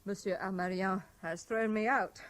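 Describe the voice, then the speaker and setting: A woman's voice: mid-range voice, fairly even intonation, moderate pace, fairly bright tone, natural voice. An actress playing a weary, coolly sceptical young Frenchwoman, polite and reserved, in 1970s radio drama style. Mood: guarded, weary.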